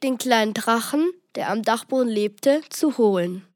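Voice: medium pitch, expressive, a little slow, dry recording, studio quality. A child reading scripted narration for a children's story.

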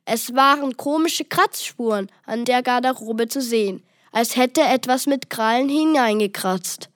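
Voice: mid-range voice, measured pace, fairly bright tone, dry recording, clean studio sound. A child reading scripted narration for a children's story.

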